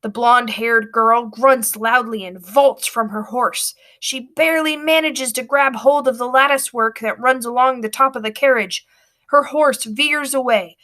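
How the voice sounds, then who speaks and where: A woman reading a storybook aloud with expressive, dramatic narration. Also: fairly high pitch, unhurried.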